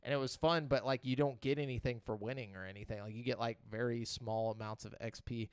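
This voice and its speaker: A man's voice: medium pitch, fairly even intonation, quick pace, clear, resonant tone, no room sound. Blunt, sweary man on a gaming podcast. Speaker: podcast host. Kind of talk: casual gaming podcast debate.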